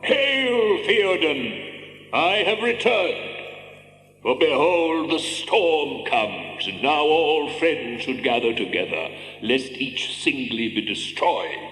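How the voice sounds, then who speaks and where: An actor playing a stern, dignified old wizard, speaking in a grave, formal, declamatory way in an audio drama. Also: male voice, fairly high pitch, animated, slow, fairly bright tone, soft background music. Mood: solemn warning. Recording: echoing hall with faint hiss and hum.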